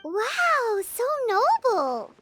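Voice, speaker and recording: A woman voicing a tiny, excitable, childlike fairy girl in a video game, with a squeaky voice and an eager, exclamatory manner. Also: very slow, full character voice. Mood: amazed. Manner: exclamatory, refers to herself in third person. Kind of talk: cartoonish game character acting.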